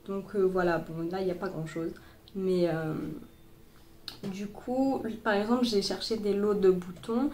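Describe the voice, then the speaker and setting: A woman's voice: medium pitch, fairly even intonation, a little slow, darkish tone, a little hiss or hum. Young woman, chatty and rambling, slight cold, roomy phone sound, faint room ambience. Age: young adult. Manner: chatty, many fillers and restarts. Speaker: sewing vlogger. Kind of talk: casual tutorial chat. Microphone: boyfriend's phone.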